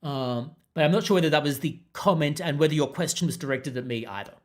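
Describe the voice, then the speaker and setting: A man with a mixed international accent, hosting a podcast and answering viewer comments in a measured, unruffled way. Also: a little high. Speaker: podcast host.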